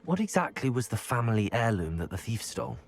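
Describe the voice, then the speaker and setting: An actor playing an earnest, courteous young student hero in a video game, speaking in a measured way. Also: male voice, medium pitch, very animated, creaky, faint music behind. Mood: curious, polite.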